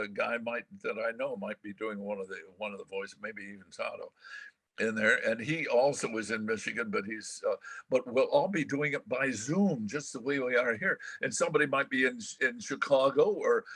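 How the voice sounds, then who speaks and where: Older American man, husky and bright. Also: medium pitch, no echo. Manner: rambling, halting, animated storyteller. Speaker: veteran radio broadcaster, guest. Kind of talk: casual reminiscing chat. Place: remote video call.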